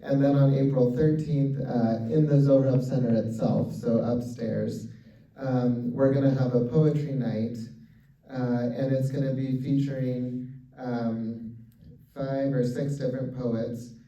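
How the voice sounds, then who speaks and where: A man's voice: medium pitch, level tone, measured pace, dark tone. A man hosting a literary event, giving casual announcements with many fillers. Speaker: event organizer and host. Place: event hall. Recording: echoey hall, distant muffled mic.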